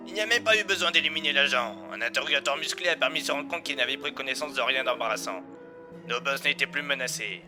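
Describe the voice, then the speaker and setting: An actor playing a nervous, secretive informant from a criminal organisation, confiding in an audio drama. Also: male voice, mid-range voice, fast, music in the background, lightly acted.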